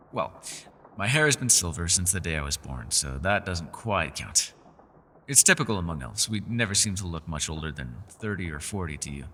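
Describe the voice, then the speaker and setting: A man voicing a centuries-old elf in a fantasy roleplay, gentle, socially awkward and chatty, with formal, old-fashioned, apologetic phrasing. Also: low-pitched, slight background noise, exaggerated voice. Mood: amused, chatty. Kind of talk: fantasy ASMR roleplay voice acting.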